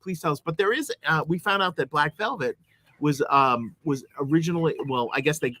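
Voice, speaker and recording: A man's voice: on the high side, a little fast, bright, forceful tone, no room sound. A man co-hosting a podcast, rambling and hesitant, telling stories in comedic banter. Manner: rambling, hesitant, full of ums. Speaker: podcast co-host.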